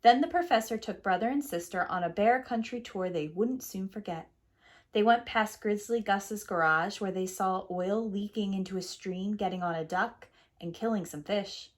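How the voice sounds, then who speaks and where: A woman's voice: medium pitch, moderate pace. Woman reading a children's story aloud. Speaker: preschool teacher and storyteller.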